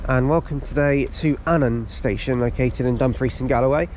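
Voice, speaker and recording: A man with a cold-stuffed nose talks casually and rambles a little, filling gaps with ums as he narrates. He is recorded outdoors on a camera mic. Clear outdoor noise and passing trains fill the background. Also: medium pitch, moderate pace, a little mellow. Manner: chatty, lots of ums and restarts. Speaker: railway vlogger. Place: outdoors on station platforms.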